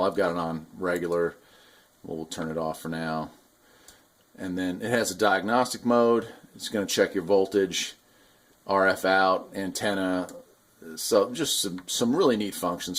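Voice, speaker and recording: A man giving a casual, unscripted product review, explaining things hands-on in a rambling, enthusiastic hobbyist way. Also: deep voice. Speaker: radio hobbyist reviewer and video host.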